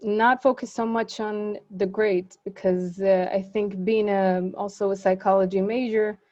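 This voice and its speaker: A woman's voice: mid-range voice, steady tone, measured pace, warm tone, lo-fi sound. A young woman whose first language is Arabic, speaking in a webinar panel and reflecting earnestly with many fillers and restarts. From Israel. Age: young adult. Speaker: student panelist.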